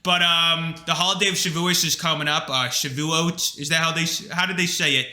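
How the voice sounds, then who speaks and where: A man's voice: a little high, fairly quick. A young man co-hosting a casual podcast with his brother, rambling with lots of fillers. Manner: rambling, filler-heavy, animated. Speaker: podcast co-host. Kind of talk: casual chat. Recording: echoey room.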